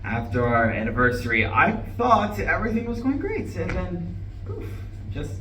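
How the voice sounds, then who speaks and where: Echoey stage reading: male actor as irritable, heartbroken divorcé, forceful and highly animated. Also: normal speed, heavily acted. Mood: dejected, faltering. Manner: faltering, sighing, swinging into bitter outbursts. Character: distraught, irritable, newly divorced man at a bus stop. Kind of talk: live stage play reading. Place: indoor room at a live reading. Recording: echoey room, distant mic, faint noise.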